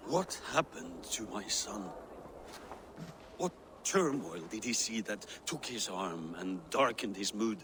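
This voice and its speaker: A man's voice: lowish voice, lively intonation, takes it slowly, noisy background. An actor playing an aging former Norse king, a proud but wounded father, speaking formally and earnestly in a video game drama. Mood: pleading. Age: older. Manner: declamatory, earnest, formal phrasing.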